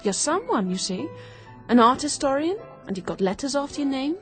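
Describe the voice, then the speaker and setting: An actress playing a keen, quick-witted young woman turned amateur sleuth in a radio drama, brisk, confident and persuasive. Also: high-pitched, very animated, soft background music. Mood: scheming, brisk.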